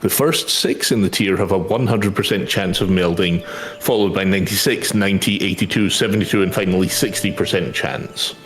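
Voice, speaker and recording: A man's voice: deep voice, a little fast, low-quality recording. A man chatting casually and reading game text aloud during a gaming session.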